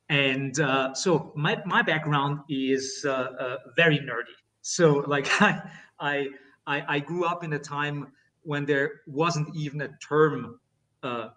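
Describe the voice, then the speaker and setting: Austrian male podcast host, chatty and halting, mid-pitch voice sitting back from the mic. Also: a bit bright, distant mic. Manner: chatty, many uh fillers and restarts. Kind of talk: casual interview chat.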